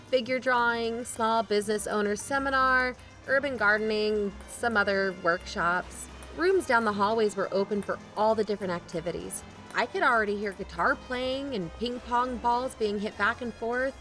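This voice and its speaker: A woman's voice: mid-range voice, a bit bright, natural voice. An actress playing a wary, candid young woman who narrates and talks in an audio drama in a conversational way. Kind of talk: first-person audio drama narration and dialogue.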